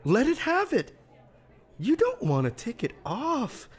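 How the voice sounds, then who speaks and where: An actor playing a paranoid, haunted ventriloquist in an audio drama, husky, agitated and confiding. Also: male voice, very high-pitched, wildly swooping pitch, slow, full character voice. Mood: haunted, fearful. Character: paranoid, haunted male ventriloquist ruled by his dummy.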